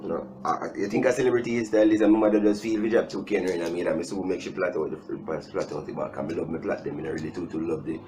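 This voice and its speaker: A young man with a Jamaican accent chatting casually as a video host, animated and joking, repeating himself for emphasis. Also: sits low, fast talker, hardly any pauses, background noise. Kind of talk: casual storytime chat. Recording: music playing behind the voice.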